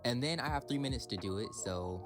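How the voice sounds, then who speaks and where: A man's voice: medium pitch, animated, brisk. A young man giving casual, chatty commentary as a guest player in a gaming video. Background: background music.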